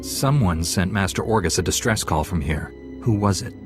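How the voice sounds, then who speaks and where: An actor playing a steady, principled young warrior apprentice in a video game, measured and firm. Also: male voice, deep voice, over music. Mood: stern. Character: young male Jedi apprentice, steady, stern, principled hero. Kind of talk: video game cutscene acting.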